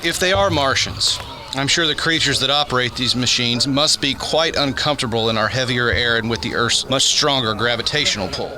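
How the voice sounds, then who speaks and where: A man acting in a radio drama as an earnest astrophysicist advising the military, speaking in a measured, explanatory way. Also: medium pitch, low-quality recording. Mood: thoughtful. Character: earnest male astrophysicist advising the military during an alien invasion. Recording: radio play with loud background noise.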